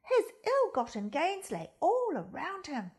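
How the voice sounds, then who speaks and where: A woman reading a children's story aloud in a dramatic, deliberate way, with hushed emphasis. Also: very high voice, huge pitch swings.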